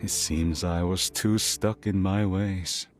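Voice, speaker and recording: A man's voice: low voice, fairly even intonation, slow, faint music behind. A man playing a worried, stubborn middle-aged merchant father in a video game, speaking in an earnest, weary, hesitant way. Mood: humbled, polite. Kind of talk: scripted video game dialogue.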